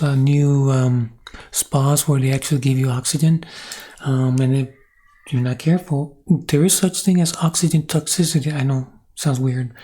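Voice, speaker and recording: A man's voice: fairly high pitch, dark tone. A man whose first language is Spanish, thinking aloud in a rambling monologue with many restarts and questions to the viewer. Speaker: channel host. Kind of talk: reflective monologue.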